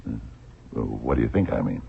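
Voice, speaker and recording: A dogged blind sleuth in a radio play, super low gravelly voice, hesitant probing, thin phone-like sound. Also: male voice, animated, a little slow, full character voice. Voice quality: gravelly, husky. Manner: hesitant, probing, murmured questioning. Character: shrewd, dogged blind man investigating a murder. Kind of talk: radio drama acting.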